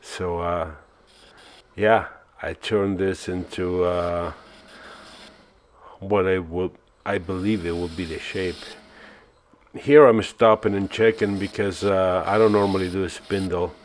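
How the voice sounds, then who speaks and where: Deep-voiced man narrating woodturning. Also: slow pace, slight background noise. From Argentina. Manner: relaxed and self-mocking, with many uh fillers. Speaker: woodturning channel host. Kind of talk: casual voice-over narration.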